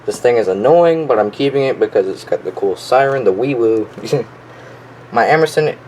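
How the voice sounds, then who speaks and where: A man's voice: mid-range voice, lively intonation, normal speed, a little mellow. A young man giving a casual, unscripted show-and-tell in an offhand, enthusiastic hobbyist way. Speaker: weather radio collector showing their collection. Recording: clearly noisy home recording.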